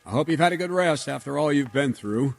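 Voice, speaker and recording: A man acting a brisk, kindly lord in a medieval video game. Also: on the high side, animated, creaky, soft background music.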